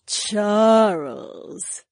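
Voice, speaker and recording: A woman voicing a feral, curious female imp in an audiobook, husky and halting as she echoes words. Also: medium pitch, darkish tone, studio quality. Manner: halting, drawn-out echoing of single words. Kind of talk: audiobook character acting.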